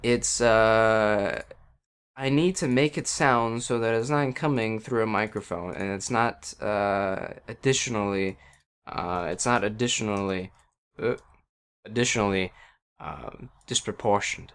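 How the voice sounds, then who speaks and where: Guy on a headset mic rambling about audio stuff, kinda low voice, lots of ums and restarts. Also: a little slow, fairly bright tone, clear, resonant tone. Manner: hesitant, many fillers and restarts, self-deprecating. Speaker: livestreamer explaining audio settings. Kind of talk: casual rambling tech explanation. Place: at a computer by a wall indoors.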